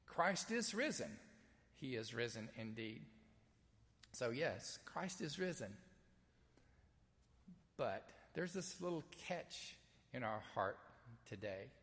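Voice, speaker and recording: A man's voice: medium pitch, big pitch swings, slow, pauses often, fairly bright tone, in a large hall. A man with a Southern US accent preaching an Easter sermon and reading scripture, in a folksy, conversational way with frequent ums and uhs. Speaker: pastor. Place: church sanctuary, streamed live.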